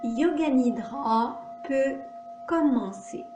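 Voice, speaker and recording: A woman teaching yoga nidra, leading a guided relaxation with calm, measured instructions and long pauses. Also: high voice, music in the background. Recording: echoey room.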